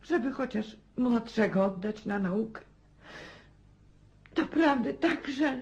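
A woman's voice: fairly high pitch, lively intonation, slow, a little mellow, dull audio. An actress playing a meek, distraught country mother in a radio play, pleading and plaintive. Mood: timid, pleading.

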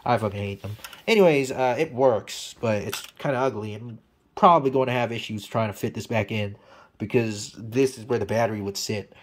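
A man's voice: lowish voice, fairly quick. A man talking casually and off the cuff as a hobbyist repairer, rambling and blunt, swearing freely. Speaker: hobbyist radio repairer and video maker. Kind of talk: casual, unscripted repair update.

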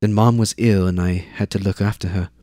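A man's voice: sits low, fairly even intonation, a little fast, dry recording, clean studio sound, close to an everyday voice. A man voicing an eleven-year-old girl laborer, plucky and matter-of-fact. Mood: eager. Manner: bright, eager, talkative.

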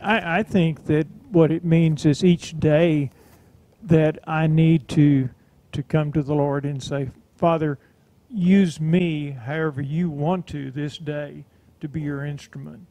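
A man giving hesitant, halting comments on a devotional panel, with many restarts. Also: on the high side, steady tone, warm tone, dry recording, muffled recording. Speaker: church member.

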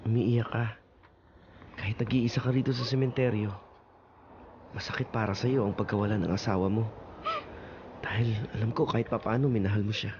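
An actor playing a devoted suitor who speaks gently and earnestly, on a muffled radio drama recording. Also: male voice, on the low side, fairly even intonation, fairly quick, long pauses, noisy background, natural voice. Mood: tender. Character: devoted patient suitor, young adult man.

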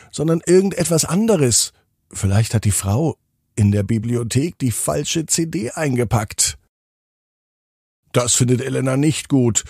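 A man narrating a children's bedtime story as podcast host, in an expressive, measured storytelling manner. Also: medium pitch, gravelly, breathy edge, dry recording, studio quality.

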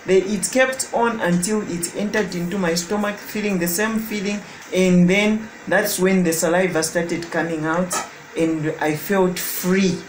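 A woman's voice: fairly low pitch, steady tone. Zambian woman testifying in an echoey room. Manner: halting, searching for words. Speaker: prayer testimony giver. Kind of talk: personal testimony. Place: home living room. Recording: echoey room with background noise.

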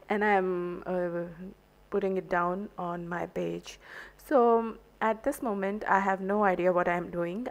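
A woman with an Indian English accent, a native Hindi speaker, narrating an art journaling tutorial in a halting way, full of ums and uhs. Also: lowish voice. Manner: slow, hesitant, many ums and uhs. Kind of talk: crafting tutorial voice-over.

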